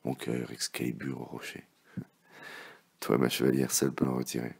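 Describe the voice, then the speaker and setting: A man reciting love poems in a dramatic, impassioned, theatrical manner, with a gravelly, husky voice that can drop to a hushed murmur. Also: a bass voice, level tone, moderate pace. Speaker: poet reading poems.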